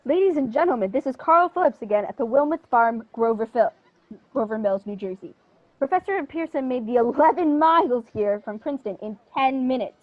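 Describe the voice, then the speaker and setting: Young woman as a high-pitched earnest radio reporter. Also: expressive, a little fast, dry recording. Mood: awed, flustered. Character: earnest male radio news reporter interviewing live. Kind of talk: scripted radio play acting. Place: living room, video call. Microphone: earphones with inline mic. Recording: dull lo-fi video call audio.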